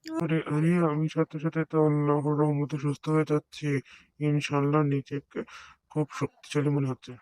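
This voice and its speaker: A man's voice: a little high, monotone, moderate pace, a little mellow, no echo, close to an everyday voice, rough recording. Man acting a frail, pious old woodcutter. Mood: hopeful, amazed. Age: older. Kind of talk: cartoon story acting.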